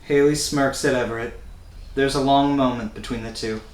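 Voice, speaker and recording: Male narrator, medium pitch, scripted stage directions, roomy echoing sound. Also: fairly even intonation, moderate pace. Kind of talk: scripted narration at a table read. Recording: echoey room with faint noise.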